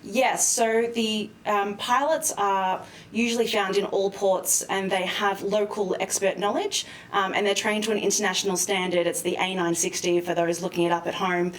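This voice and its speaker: A woman's voice: mid-range voice, little pitch movement, normal speed, hardly any pauses. Roomy, distant-sounding woman explaining carefully. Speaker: expert guest, maritime lawyer. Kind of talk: explanatory live news interview. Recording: roomy, distant mic with faint noise.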